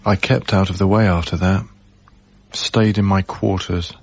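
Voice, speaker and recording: An actor playing a reserved, observant young lodger in a radio drama, narrating in a measured, literate way. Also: male voice, low-pitched, steady tone, lo-fi sound, a little hiss or hum. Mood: reflective, wry. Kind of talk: radio drama first-person narration and dialogue.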